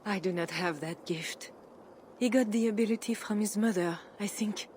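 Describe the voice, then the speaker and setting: A woman playing a devout, enigmatic medieval Frankish queen in a video game cutscene, speaking in a composed, solemn and measured way. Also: medium pitch, darkish tone, a little hiss or hum, lightly acted. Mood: gentle, composed.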